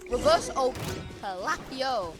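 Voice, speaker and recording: Girl streamer, high voice all over the place, joking slangy game commentary, music loud behind her. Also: lively intonation, slow pace. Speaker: game streamer reacting while playing. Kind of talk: casual playthrough commentary.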